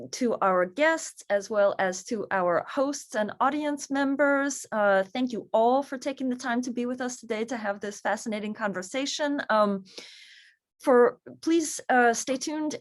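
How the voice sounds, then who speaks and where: Formal woman moderator, fairly high voice, measured and steady, on a video call. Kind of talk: moderating a panel.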